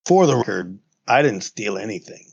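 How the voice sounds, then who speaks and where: A man's voice: on the low side, very animated, normal speed, gravelly, husky, no room sound. A man in a casual tabletop roleplaying game with a dry, grumbling delivery, on a slightly muffled close mic.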